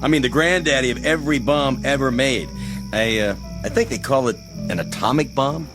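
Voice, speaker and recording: A man's voice: medium pitch, brisk, close to an everyday voice. Guy doing a laid-back young army messenger, offhand, music playing under him. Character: young male army messenger bringing news. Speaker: soldier. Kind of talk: radio drama acting. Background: music score.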